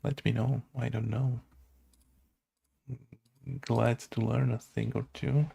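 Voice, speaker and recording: A man talks himself through a coding screencast at an extremely slow pace. His voice is heavily creaky and on the mellow side. He sounds unsure, muttering in short, broken fragments. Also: medium pitch, level tone. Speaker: game development tutorial host. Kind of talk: casual think-aloud coding screencast.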